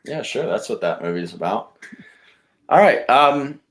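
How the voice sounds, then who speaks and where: A man chatting casually and reading out pitches as a podcast contestant. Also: sing-song, normal speed, a bit bright. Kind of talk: casual chat with read-out pitches.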